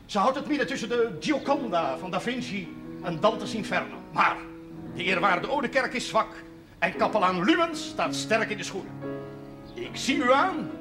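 A man's voice: high-pitched, very animated, moderate pace, pauses often, over music. An actor playing a man who talks in florid, solemn, theatrical phrases in a television drama. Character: man solemnly and theatrically relaying a priest's warning.

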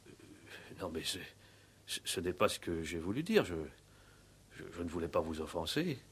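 A man's voice: deep voice, very animated, moderate pace, breathy edge, full character voice. An actor playing a worried village mayor in a radio play, flustered and self-important, stammering and repeating his words. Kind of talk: 1960s French radio play acting.

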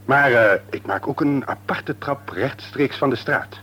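An actor playing an enthusiastic, self-assured business owner in a Dutch radio drama. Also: male voice, mid-range voice, a little slow, bright, forceful tone, slightly rough. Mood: enthusiastic, proud.

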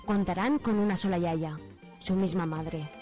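A woman's voice: lowish voice, measured pace, warm tone. A woman with an accent from Spain narrates a scripted radio drama in a mock-solemn storytelling manner. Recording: light music bed.

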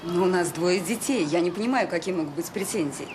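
An actress playing an officious, self-important schoolteacher in a radio drama, prim, didactic and quick to find fault. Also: female voice, on the low side, close to an everyday voice. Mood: offended. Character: officious, fault-finding female teacher, self-important visiting relative. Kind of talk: naturalistic Soviet radio drama acting. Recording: very noisy audio drama recording.

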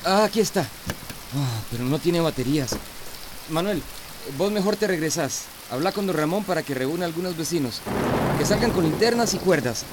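An actor playing an easygoing, practical villager in a Central American Spanish radio soap opera. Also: male voice, fairly high pitch, expressive, moderate pace, close to an everyday voice. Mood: urgent, decisive. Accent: Central American Spanish, voseo. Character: easygoing, practical villager who takes charge. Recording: radio drama with rainstorm noise.

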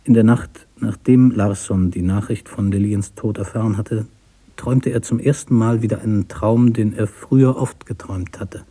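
A man narrating a literary story for radio in a measured, deliberate way. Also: on the low side, fairly even intonation, mellow, husky.

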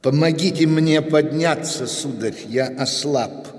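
A man's voice: on the high side, unhurried, lightly acted. An actor playing a weary, grieving middle-aged nobleman who heads a religious order, solemn and commanding, in a radio drama. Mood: weakened, commanding. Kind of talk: Russian radio drama acting. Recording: long reverb, loud music behind. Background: loud music.

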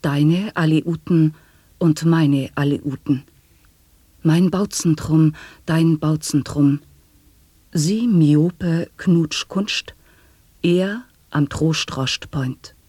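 Playful sound poetry in slow, drawn-out phrases with a husky, breathy edge. The studio is dry and close, and the delivery stays hushed. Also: male voice, a little high, dry recording, full character voice. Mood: chanting. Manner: slow, hushed wordplay chanting. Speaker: voice in a sound-poetry radio play. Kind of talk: 1980s sound poetry recitation.

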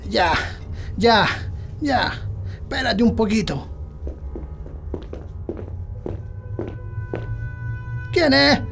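A suspicious rural Chilean husband in a radio play. His voice is gravelly and leaps about in pitch, gruff in manner, with music underneath. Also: expressive, takes it slowly, fairly bright tone, heavily acted. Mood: sleepy. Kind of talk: radio drama acting. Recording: music behind the voice.

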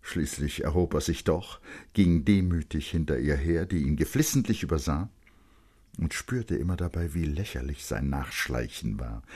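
A man narrating literary prose dramatically, in a grave, measured and intense manner. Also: extremely low pitch, a little mellow, slightly rough.

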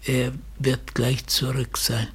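Mysterious stranger, radio drama: very breathy, slow, softly menacing. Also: male voice, medium pitch, monotone, exaggerated voice. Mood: soft, insinuating. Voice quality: very husky, breathy. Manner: slow, soft, insinuating. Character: mysterious, quietly menacing man delivering a parcel. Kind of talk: German literary radio play acting.

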